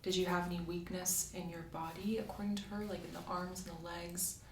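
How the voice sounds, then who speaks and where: A soft-spoken woman doing an ASMR medical roleplay as a doctor, asking methodical questions in a reassuring way. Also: lowish voice, flat delivery, brisk. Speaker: ASMR creator playing a doctor. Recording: roomy, distant mic, faint hiss.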